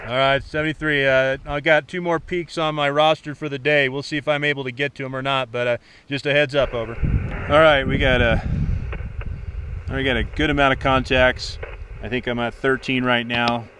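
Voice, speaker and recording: A man's voice: medium pitch, level tone, normal speed, sharp tone, rough recording. A man talking casually and easily outdoors as an amateur radio operator, in colloquial language. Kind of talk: casual radio chat and outdoor vlog talk. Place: outdoors on a rocky mountain summit. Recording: faint outdoor background noise.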